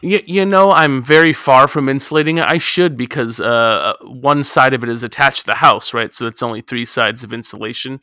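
A man's voice: medium pitch, fairly quick, few pauses, pushed and bright, no room sound, studio quality. Chatty man talking from his garage. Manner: rambling, self-deprecating, chatty. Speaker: podcast co-host. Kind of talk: casual chat. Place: home garage.

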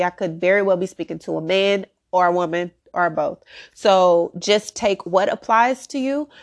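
A woman's voice: medium pitch, gravelly. A woman hosting a spiritual podcast, sounding nasal from a head cold, speaking earnestly and encouragingly with the odd "um". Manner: earnest and encouraging, with filler ums. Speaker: podcast host. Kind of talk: spiritual astrology podcast talk. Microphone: solo audio podcast recording.

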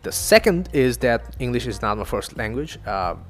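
A man's voice: medium pitch, very animated, moderate pace, soft background music. A young man from Northeast India whose first language is Assamese, hosting his own podcast in a chatty, loose monologue full of swearing and self-mocking asides. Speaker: podcast host. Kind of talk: casual solo podcast monologue. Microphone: home studio mic.

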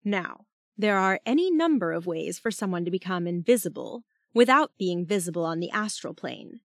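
A woman voicing a calm, firm female team leader in an audiobook, soothing and measured. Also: lowish voice, no room sound, studio quality.

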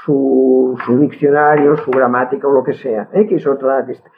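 A woman's voice: rumbling low, steady tone, moderate pace, background noise. A woman with a Catalan accent, Catalan being her first language, speaking as a guest in a casual conversation, firm and opinionated, often restarting words. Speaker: guest linguist. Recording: tinny phone-like line.

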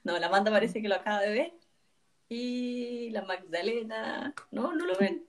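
A woman in her forties hosts a relaxed podcast conversation. She talks in a chatty, easygoing way and laughs often. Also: on the high side, moderate pace. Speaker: podcast co-host. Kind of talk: casual podcast chat.

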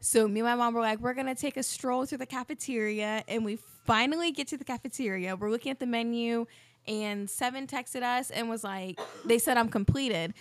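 Woman, twenties, upbeat casual co-host, a little high-voiced. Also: fairly quick. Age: 20s. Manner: friendly, rambling, upbeat. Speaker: podcast co-host. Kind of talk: casual chat.

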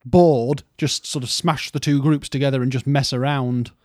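A man's voice: medium pitch, fairly even intonation, moderate pace, a little mellow, dry recording. Music history podcast guy, rambly and playful, dry close mic. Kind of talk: casual podcast chat.

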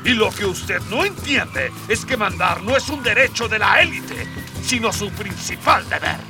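A man's voice: high-pitched, expressive, measured pace, far from the mic, over music. A man playing a haughty, scornful elitist colonel in a radio drama, pompous and disdainful.

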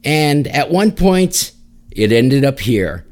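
A man's voice: medium pitch, sing-song, slow, darkish tone. A man hosting a podcast, talking casually about music. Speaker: podcast host.

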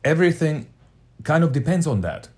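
A man's voice: a little high, expressive, a little fast. A man co-hosting a podcast with blunt, emphatic and scornful casual banter. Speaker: podcast co-host.

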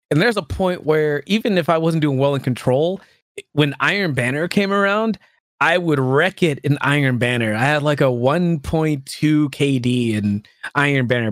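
A man's voice: a little high, dry recording, studio quality. A man in his early thirties, a streamer chatting casually and rambling, often laughing and poking fun at himself. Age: 30s. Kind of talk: casual chat.

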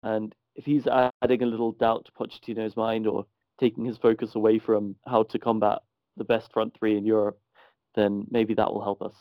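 A man with an Irish accent giving measured, analytical views in a casual football podcast chat. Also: fairly low pitch, level tone, no echo, lo-fi sound. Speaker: podcast panelist.

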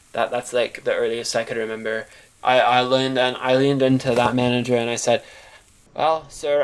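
A man's voice: medium pitch, vocal fry, poor audio. A man performing a first-person audio drama monologue as narrator, in an awkward, earnest storytelling manner. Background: music.

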